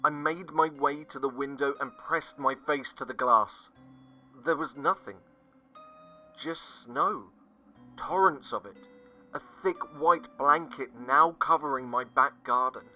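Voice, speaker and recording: A man narrating a scripted first-person horror story, dramatic and sinking to hushed tones at the tense moments. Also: a little high, measured pace. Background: faint music.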